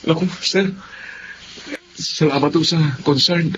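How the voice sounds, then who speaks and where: A man's voice: on the high side, fairly even intonation, slow pace, background noise. An actor playing a modest, respectful young male employee in a Filipino radio drama, deferential in manner. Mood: polite, hesitant.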